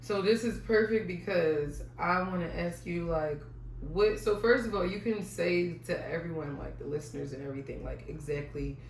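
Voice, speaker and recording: A woman's voice: lowish voice, moderate pace. Chatty woman podcast host. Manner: chatty, jumps between topics, frequent "mm-hmm"s. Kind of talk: casual chat. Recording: echoey room, mic at a distance, faint noise.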